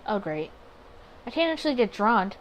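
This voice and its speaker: A woman's voice: mid-range voice, very animated, normal speed, a little hiss or hum, rough recording. A woman hosting a visual novel playthrough, reading aloud and commenting to herself, sometimes stumbling over words. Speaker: gaming video host.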